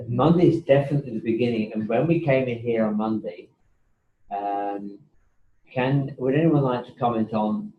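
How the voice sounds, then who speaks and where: A man teaching a university class, talking in a relaxed, chatty way with joking asides, recorded on a table mic in a college classroom. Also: on the low side, highs cut off, a little hiss or hum. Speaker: university lecturer. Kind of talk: classroom session recorded for online students. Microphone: table mic in the room.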